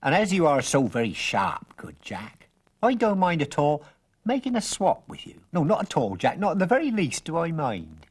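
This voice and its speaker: A storyteller voicing a very old, odd stranger man who talks in wordy, drawn-out persuasion, with a husky voice. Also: medium pitch, wildly swooping pitch, voice pushed up. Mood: wheedling.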